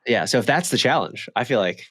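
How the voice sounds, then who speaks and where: A man's voice: medium pitch, animated, fairly quick, a bit bright. A young man co-hosting a podcast in casual, slangy chat full of bro and dude. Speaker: podcast co-host.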